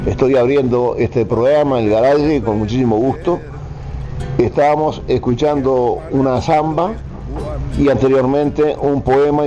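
A man in reflective, conversational radio talk as a guest musician. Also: mid-range voice. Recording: dull lo-fi audio with loud noise.

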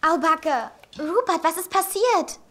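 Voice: very high-pitched, lively intonation, measured pace, fairly bright tone, full character voice. An actor playing a chatty, youthful middle-school girl in a German audio drama. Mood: curious.

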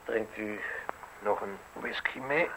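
An actor playing a defiant, sceptical newspaperman in a radio play, with a husky voice and a curt, probing delivery. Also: fairly low pitch, slow, very noisy. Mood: hesitant, probing. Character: defiant, sceptical male newspaperman facing arrest, sharp-witted. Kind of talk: Dutch radio play acting.

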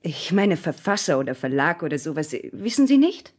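An actress playing a brisk, unhelpful bookshop saleswoman in a German radio play, curt and matter-of-fact. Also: sits low, very animated, darkish tone.

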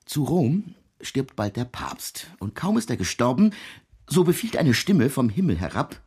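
Radio drama part: a learned, long-winded man retelling old legends, husky mid-pitched voice, ornate and pedantic. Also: sing-song, a little slow, a little mellow, full character voice. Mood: solemn, narrating. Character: erudite, wordy man retelling sinful medieval legends. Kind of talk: literary radio drama narration.

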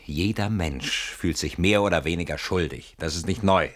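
A deep-voiced man played by an actor in a radio drama, anxious and brooding. He reasons aloud in an articulate, ironic way, always on the defensive, with a theatrical delivery. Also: normal speed, natural voice. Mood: bitter, ironic. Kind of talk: German radio play acting.

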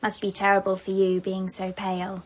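A woman's voice: medium pitch, completely flat delivery, normal speed, natural voice. A woman with a British accent playing a spoiled, jealous young wife in a radio drama, petulant and cutting. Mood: catty.

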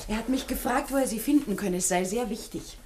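A woman's voice: fairly high pitch, brisk, a little mellow, slight background noise, close to an everyday voice. An actress playing a professor's grown daughter in a radio play, a young woman with a chatty, polite manner. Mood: amused, confiding. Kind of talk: German radio play acting.

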